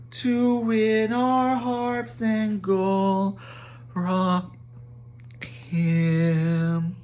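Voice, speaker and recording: A man voicing a solemn dwarf who sings an ancient lament in slow, drawn-out lines for a storybook reading. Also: high voice, pitched above the performer's own voice.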